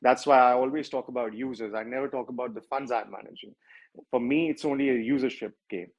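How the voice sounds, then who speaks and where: A man's voice: mid-range voice, fast, darkish tone, highs cut off. A man with a strong Indian accent, a project founder answering questions in a livestreamed interview, talking at length and often correcting himself. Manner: rambling, self-correcting, with many filler words.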